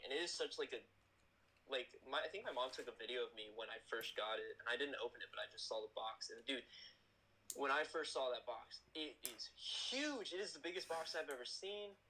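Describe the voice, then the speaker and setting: A young man in his late teens, a guest who works as an animator, rambling through stories in a casual, excited chat. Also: mid-range voice, slight background noise, low-quality recording.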